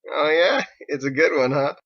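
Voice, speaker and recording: A man's voice: a little high, moderate pace, lo-fi sound, voice pushed up. A man voicing a laid-back, boastful young sailor in an audiobook, talking in casual slang. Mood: teasing. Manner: slangy, folksy, informal. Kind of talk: audiobook character acting.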